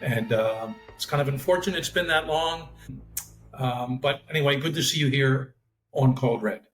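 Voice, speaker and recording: A man's voice: medium pitch, moderate pace, a bit bright, soft background music. Guy cohosting a podcast, rambly with lots of uhs, echoey room. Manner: rambling, many fillers. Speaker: podcast co-host, former profiler. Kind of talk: casual podcast chat. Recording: roomy echo.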